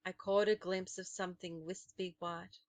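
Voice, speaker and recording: A woman narrating a children's novel aloud in a deliberate, expressive, dramatic storytelling style. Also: on the low side, dry recording. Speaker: storybook reader.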